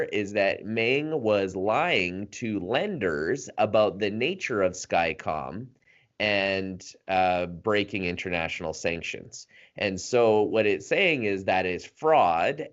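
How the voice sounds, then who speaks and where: A man with a fairly low voice walks through a news story at length, in a casual podcast chat. He fills pauses with ums and uhs. The sound is dull and lo-fi. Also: a bit bright. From Canada. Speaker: podcast co-host.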